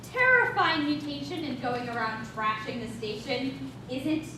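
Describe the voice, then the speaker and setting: A woman's voice: expressive, a little slow. A woman playing a determined, defiant space station crew member in a staged reading, with an insistent, sarcastic edge. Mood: urgent, defiant. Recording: echoey room from far off, rumbling noise.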